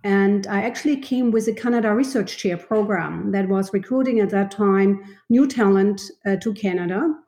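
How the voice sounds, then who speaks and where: A woman from Germany with a German accent, a university vice president answering interview questions in a thoughtful, measured way with frequent pauses. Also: mid-range voice, mellow, reverberant. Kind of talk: podcast interview.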